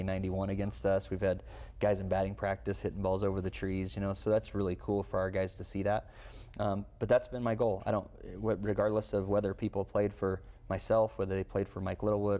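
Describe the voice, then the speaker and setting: Very deep-voiced man, flat delivery. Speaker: college baseball head coach, interview guest. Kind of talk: unscripted interview answers.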